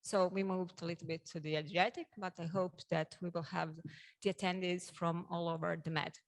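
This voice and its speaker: Female conference moderator, Croatian accent, voice a little on the low side, hesitant delivery to an audience. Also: fairly even intonation, brisk. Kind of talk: conference introduction, addressing an audience.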